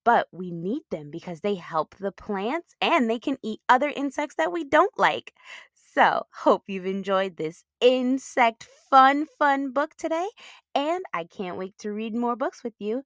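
A woman's voice: a little high, sing-song, measured pace, a bit bright, no room sound, studio quality. A woman hosting a children's read-aloud, talking to young listeners in simple, explanatory language.

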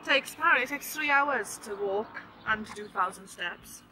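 Bright-voiced woman chatting casually. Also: on the high side, lively intonation, moderate pace, a little hiss or hum, rough recording. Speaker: travel vlogger. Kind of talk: casual chat. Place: outdoors by a building entrance. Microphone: handheld camera at arm's length.

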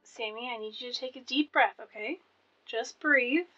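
A woman playing Hannah, a calm and deadpan teen girl helping with announcements in an audio drama. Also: a little high, normal speed, dull audio, faint music behind. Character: dry, unimpressed teen girl helper Hannah.

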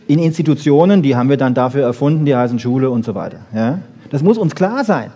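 A man giving a lively popular science lecture, emphatic, with rhetorical questions and short punchy asides. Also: mid-range voice, fast. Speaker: lecturer on brain research.

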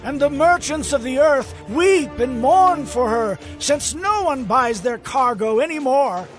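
High-pitched, solemn male Bible narrator. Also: lively intonation, unhurried, faint music behind. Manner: solemn, dramatic. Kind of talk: dramatized audio Bible narration.

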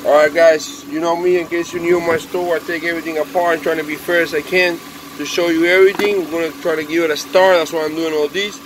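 A man's voice: fairly high pitch, little pitch movement, fast. A man with a Spanish accent, a native Spanish speaker, chatting casually on a phone camera in his workshop as he shows a broken chainsaw to the customers of his parts store. He talks to the machine as if it were a pet. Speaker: eBay parts seller. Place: cluttered workshop indoors. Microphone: phone camera held close. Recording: phone recording with clear engine noise. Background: engine and machine noise.

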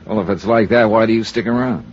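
An actor playing a cool, wry American insurance investigator in a 1950s radio detective serial, with a dry, clipped, sardonic delivery. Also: male voice, lowish voice, fairly even intonation, fast, darkish tone, slight background noise, close to an everyday voice. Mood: probing, dry. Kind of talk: 1950s radio detective acting, first-person narration. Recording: muffled 1950s radio recording with music.

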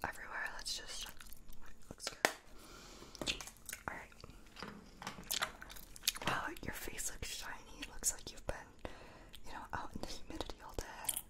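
A young woman whispers in an ASMR personal-attention roleplay. Her voice is breathy and almost entirely unvoiced, right up close to the mic. She rambles nonchalantly in halting little fragments, playing a makeup artist. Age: 20s. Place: small room at home, indoors. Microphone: close mic, facing camera.

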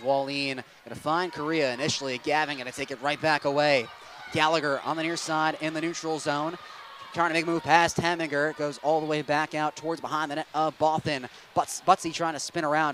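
A man's voice: a little high, a little hiss or hum. Young man calling hockey play-by-play. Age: young adult. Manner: rapid, steady calling that spikes into excited shouting on goals. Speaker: play-by-play announcer.

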